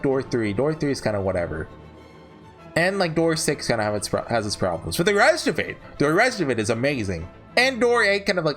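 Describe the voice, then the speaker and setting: This is a man with a fairly high-set voice and very lively intonation. He speaks like a YouTube host giving casual commentary, rambling and opinionated, with light music playing behind him. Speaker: YouTuber host ranking visual novels. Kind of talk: casual off-the-cuff review commentary.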